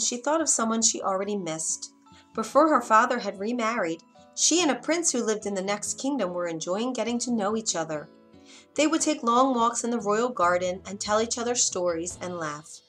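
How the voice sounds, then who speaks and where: A woman storyteller reading a scripted bedtime fairytale for children. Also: mid-range voice.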